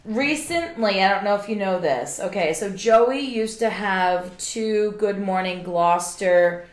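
A woman with a deep voice co-hosting a casual chat, telling jokey stories. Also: measured pace, reverberant. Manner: chatty, jokey storyteller.

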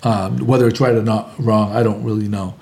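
A man's voice: lowish voice, steady tone, fairly quick, gravelly, warm tone. Opinionated man, rambling casual chat.

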